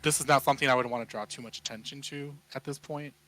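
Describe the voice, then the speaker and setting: A man's voice: mid-range voice, fairly even intonation, quick pace, fairly bright tone, rough recording. A man describing his character's actions casually and a little hesitantly as a player in a tabletop roleplaying game.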